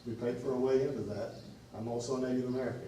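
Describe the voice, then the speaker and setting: Earnest man in a booming hall. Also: steady tone, mellow, a little hiss or hum. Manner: earnest, deliberate, repeats himself. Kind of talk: public meeting remarks. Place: large meeting room. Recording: echoey meeting hall from far off.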